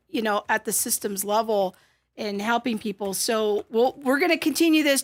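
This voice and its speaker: A woman's voice: on the high side, fairly bright tone, no room sound. Woman co-hosting, dry close sound. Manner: chatty, thankful. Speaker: co-host. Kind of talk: talk show wrap-up. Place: small studio set with desk mics. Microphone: desk microphone.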